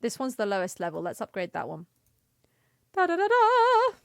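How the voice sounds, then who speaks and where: A woman's voice: a little high, wildly swooping pitch, normal speed, gravelly, no room sound, clean studio sound. A woman giving casual, chatty livestream commentary on a video game, playful and given to sing-song exclamations. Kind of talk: casual gaming stream commentary. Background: faint music.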